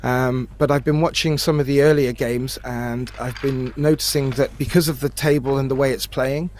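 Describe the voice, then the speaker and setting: A man giving expert pool analysis as co-commentator, thoughtful and a bit halting. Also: medium pitch, steady tone, a little mellow, a little hiss or hum. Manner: analytical, hesitant with fillers, laughs easily. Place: remote booth.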